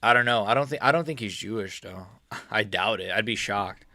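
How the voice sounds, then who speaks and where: A man's voice: fairly low pitch, normal speed, sharp tone, no room sound. A man in his early twenties from the United States, chatting casually on a live stream, rambling with lots of fillers and laughs. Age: 20s. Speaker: MMA YouTuber streaming live. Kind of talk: casual live-stream chat reading chat questions.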